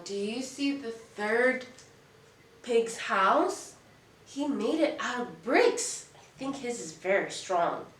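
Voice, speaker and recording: A woman telling a children's story in an expressive, deliberate, teacherly way, putting questions to young listeners. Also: a little high, a little hiss or hum. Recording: echoey room, distant mic.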